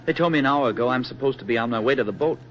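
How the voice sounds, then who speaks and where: A man plays a young American soldier, boyish and affable, in old-time radio drama style. His mid-pitched voice is earnest and folksy, on a dull vintage recording with faint noise. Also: very fast, fairly bright tone, close to an everyday voice. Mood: urgent, loving. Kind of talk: 1940s radio acting. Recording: muffled, noisy 1940s radio recording.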